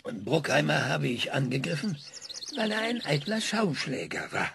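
A man's voice: fairly high pitch, normal speed, gravelly, background noise. A man playing an aging, bitter and ironic scientist in a radio drama, speaking in a sardonic tone. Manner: sardonic, lively intonation. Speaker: scientist being interviewed.

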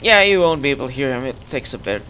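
A man hosting a casual co-op gaming session, calmly guiding his partner through the level. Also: medium pitch, fast talker, a little hiss or hum. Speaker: channel host playing co-op.

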